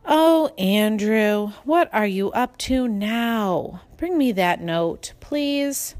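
A woman's voice: mid-range voice, expressive, extremely slow, darkish tone, no room sound. A woman playing a firm but fair female schoolteacher in a storybook, giving instructions. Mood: weary.

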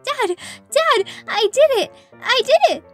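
A woman's voice: very high voice, expressive, slow pace, soft background music, exaggerated voice. A woman voicing a sweet, anxious young female unicorn pony in an amateur cartoon drama, earnest and emotive. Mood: excited. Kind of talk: amateur animated audio drama.

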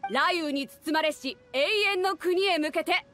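A woman's voice: very high voice, a little slow, over loud music. A woman voicing a bold, teasing pirate fleet captain in an anime-style game, commanding and casual. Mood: rousing.

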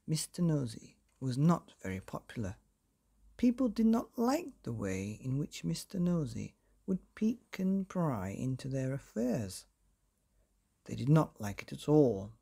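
Husky, animated man reading a bedtime story. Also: on the high side. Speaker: storyteller reading a children's book. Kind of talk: expressive bedtime story narration.

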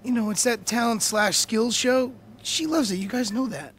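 An actor playing a hesitant young adult son in a video game, speaking in a halting, slack way. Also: male voice, extremely high pitch, fairly bright tone, slight background noise. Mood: sheepish. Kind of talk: video game dramatic acting.

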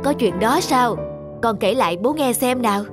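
A woman voicing a calm, wise father bear who patiently explains things to his son. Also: a little high, normal speed, voice pushed down, lightly acted. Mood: gentle wise. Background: music.

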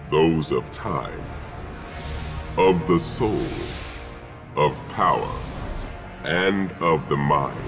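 An extremely low, gravel-throated game villain. This titan warlord is sly and commanding, and speaks slowly and deliberately. Faint music sits behind the voice. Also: male voice, full character voice. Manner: slow, imperious, deliberate. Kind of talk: video game villain acting.

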